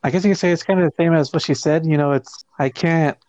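A man's voice: fairly high pitch, quick pace, darkish tone, no room sound, muffled recording. A man from the United States in a casual group discussion, talking hesitantly and rambling.

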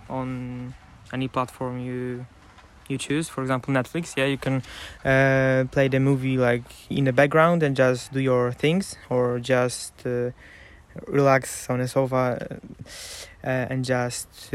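Slow, halting man. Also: mid-range voice. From Ukraine. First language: Russian. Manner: halting, many "uh" pauses. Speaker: podcast guest student.

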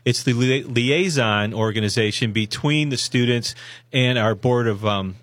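A man co-hosting a college radio show, hoarse from a sinus infection, talking in a rambling, folksy way with lots of self-corrections and fillers. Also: medium pitch, unhurried, a bit bright, no room sound. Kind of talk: casual radio promo talk. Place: radio studio.

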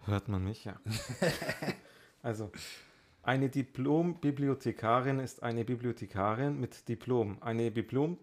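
A man's voice: mid-range voice, takes it slowly. A man co-hosting a podcast in informal, playful chat. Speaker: podcast co-host.

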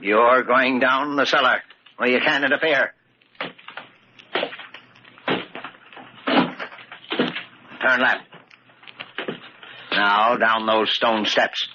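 A man's voice: mid-range voice, fairly even intonation, takes it slowly, natural voice. An actor playing a stubborn, evasive retired old man with a menacing streak, hesitating and repeating himself in old-time radio style. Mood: defiant, menacing. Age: older. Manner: hesitant, evasive, muttering repetitions. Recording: muffled, noisy old radio broadcast.

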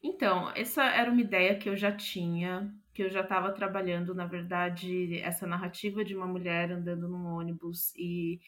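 A woman's voice: on the low side, little pitch movement, moderate pace, few pauses, fairly bright tone. A Brazilian woman speaking as a playwright in a casual interview, reflecting on writing and often ending phrases with small question tags. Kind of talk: casual interview talk about own writing process.

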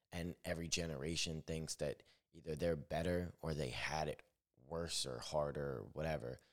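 Brief: young male podcast host, extremely low deep voice, candid rambling solo monologue. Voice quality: naturally deep voice. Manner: rambling, self-correcting, candid.

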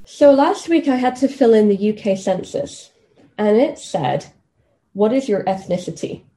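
Mellow-voiced woman telling personal stories. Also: medium pitch, animated, moderate pace, faint music behind. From Kenya. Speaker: podcast guest. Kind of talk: conversational personal storytelling interview.

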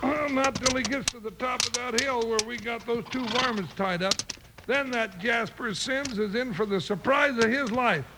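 A jolly frontier sidekick with a high, gravelly voice. He drawls in rustic, folksy style, in the manner of 1950s radio westerns, on a noisy old recording. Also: moderate pace. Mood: eager. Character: jolly, slow-moving, hearty-eating male western deputy sidekick.

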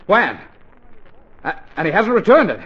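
A man playing an excitable middle-aged American father who stammers and sputters, in 1940s radio comedy style. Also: sits high, moderate pace, breathy edge, exaggerated voice. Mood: flustered. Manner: stammering, sputtering, repeats words. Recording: dull, lo-fi 1940s radio recording.

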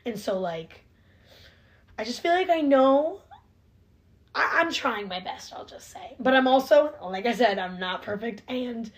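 Chatty nineteen-year-old woman, higher voice. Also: lively intonation, a little slow. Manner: chatty, heavy use of "like", earnest and self-reflective. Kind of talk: casual chat.

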